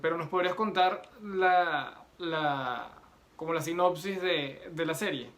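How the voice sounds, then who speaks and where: A man's voice: on the high side, unhurried, heavy background noise. A man moderating a conversational interview, politely and with hesitant phrasing.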